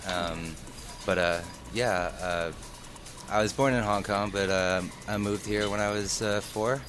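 A man's voice: on the low side, steady tone, measured pace. A young man from Detroit, a guest DJ, chatting casually in an interview, hesitant and full of fillers. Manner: hesitant, filler-heavy, laid-back. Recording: music playing underneath.

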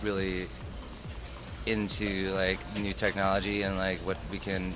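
Low-voiced laid-back young man DJ. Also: monotone, unhurried. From Detroit. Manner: hesitant, filler-heavy, laid-back. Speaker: guest DJ. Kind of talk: casual interview chat. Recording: music playing underneath.

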